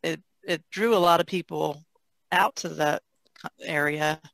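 A man's voice: fairly high pitch, steady tone, a little slow, vocal fry, a bit bright, no room sound. A man taking part in a casual podcast discussion as one of the panel.

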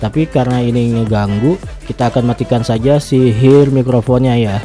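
A man's voice: mid-range voice, moderate pace. Brief: young male tutorial host, friendly and chatty, studio mic at home, music bed under him. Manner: chatty, friendly, keeps addressing viewers as friends. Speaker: YouTube tech tutorial host. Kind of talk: casual step-by-step software tutorial. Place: small home room lit with blue LED lights. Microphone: large studio mic on a boom arm.

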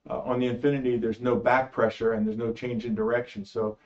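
A middle-aged man hosting a casual panel talk, asking questions in a relaxed way with some fillers. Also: mid-range voice, fairly quick. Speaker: host. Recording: distant, slightly dull.

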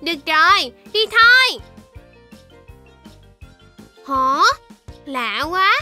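Squeaky, bright little cartoon shark. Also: female voice, sing-song, very slow, soft background music, exaggerated voice. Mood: excited. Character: eager little baby shark boy. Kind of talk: Vietnamese children's cartoon dubbing.

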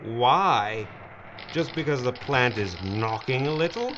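An actor playing a gleeful mad villain in an audio drama, mocking, theatrical and drawling. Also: male voice, medium pitch, lively intonation, slow, lo-fi sound, noisy background. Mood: smug mockery. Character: gleeful mad villain, smug greedy schemer.

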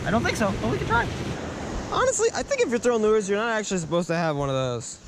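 A high, lively male voice jumps up and down in pitch as he chats about his gear and makes fun of his own fishing. He is recorded on an action camera at the beach, with wind and crashing surf loud behind him. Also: fast talker. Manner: chatty, self-mocking, excitable when a fish seems near. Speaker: fishing vlogger. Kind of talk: self-deprecating casual vlog narration. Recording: windy outdoor beach audio with surf noise. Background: wind and crashing waves.

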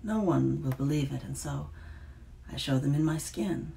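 A reader voicing a weary, fading female kappa in a storybook reading, speaking in a hushed, whispery way. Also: mid-range voice, normal speed, creaky, a little hiss or hum.

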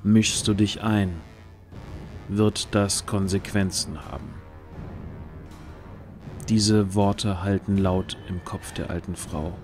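A man's voice: low voice, steady tone, takes it slowly, long pauses, a little mellow, soft background music. A man narrating a scripted fantasy audio drama as its storyteller.

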